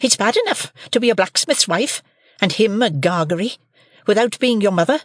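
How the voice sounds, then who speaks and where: A shrill, scolding woman lashes out in a high, loud, nagging voice, as a male audiobook reader puts her on. The sound is dry and close, with no room around it. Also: animated, normal speed, dry recording. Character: shrill scolding sister, harsh tempered woman.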